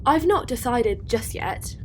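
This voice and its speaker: A woman's voice: on the high side, lively intonation, unhurried, slight background noise. An actress playing a practical woman leading a small starship crew in a sci-fi audio drama, casual and direct. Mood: mildly impatient.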